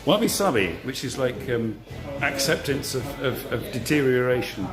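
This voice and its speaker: A man's voice: medium pitch, expressive, moderate pace, echoey room. Guy live-streaming at a party, stumbles over words, self-deprecating, phone audio with music blaring. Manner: stammering, self-deprecating, chuckling. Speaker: vlog host. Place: bar at an art exhibition opening. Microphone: phone streaming app. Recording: phone audio in a noisy bar with loud music. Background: loud music.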